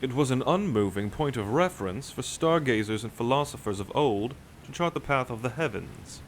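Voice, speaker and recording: A man's voice: medium pitch, lively intonation, moderate pace, slight background noise, close to an everyday voice. A man playing a dry, learned, skeptical sailor who teases his shipmates in a nautical audio drama. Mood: dry, teasing. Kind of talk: cinematic audio roleplay, nautical fantasy.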